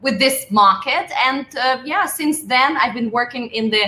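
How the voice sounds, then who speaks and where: A woman's voice: on the high side, moderate pace, bright, forceful tone. A woman from Russia, guest marketing expert on a live online interview, speaking in an enthusiastic, articulate way. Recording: echoey room with faint hiss.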